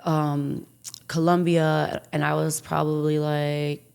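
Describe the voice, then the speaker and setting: A young woman with a raspy voice, talking candidly and casually as a podcast guest, swearing freely. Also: low-pitched, completely flat delivery, slow, a little mellow. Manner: casual, profanity-laced, laughing often.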